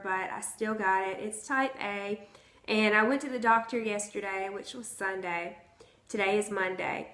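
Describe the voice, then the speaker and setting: A hoarse woman, chatting casually to her vlog audience from home. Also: mid-range voice, fairly bright tone. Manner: chatty, conversational. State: hoarse from the flu. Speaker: vlogger. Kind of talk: casual chat to camera. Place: at home, indoors. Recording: roomy echo.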